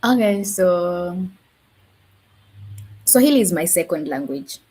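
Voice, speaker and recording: A woman with a lowish, husky voice, speaking as a guest in a live online talk. She pauses often, restarts her sentences and leans on fillers as she explains her work as a language teacher. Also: expressive, darkish tone, poor audio. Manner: hesitant, many fillers and self-corrections.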